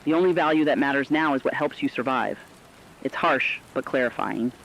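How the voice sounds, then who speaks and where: A man playing a solemn radio commentator who speaks to survivors in an earnest, reflective, deliberate scripted style. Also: mid-range voice, creaky, fairly bright tone, slight background noise, low-quality recording. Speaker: radio co-host.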